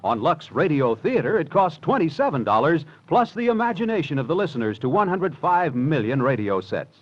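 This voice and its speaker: Animated male radio promo announcer. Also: on the high side, a little fast. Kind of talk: scripted vintage radio promo. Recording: muffled old radio recording.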